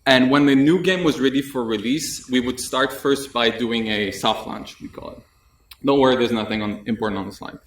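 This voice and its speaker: A man's voice: medium pitch, normal speed. Young man presenting in an echoey room. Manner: relaxed and chatty, with frequent "uh" fillers and light jokes. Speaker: conference presenter. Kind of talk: technical conference talk. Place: conference room with audience, indoors. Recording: echoey room, mic at a distance.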